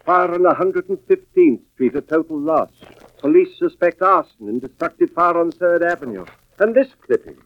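A man playing a grave, suspicious husband and fire investigator in a mid-century radio drama, with deliberate, dramatic delivery. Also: a little high, expressive, no echo, natural voice. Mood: accusing, tense. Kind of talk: 1940s-50s radio drama acting, first-person narration. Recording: muffled vintage radio recording with music behind.

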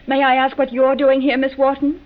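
A woman's voice: high voice, monotone, brisk, natural voice. A woman playing a suspicious, wronged wife in a mid-century radio drama, clipped and confrontational. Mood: accusing. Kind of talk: 1940s-50s radio drama acting. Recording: muffled old radio recording.

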